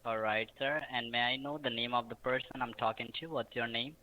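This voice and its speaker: A man's voice: mid-range voice, steady tone, brisk, bright, forceful tone, slight background noise. A man posing as an official on a phone call, asking questions insistently in broken, ungrammatical English.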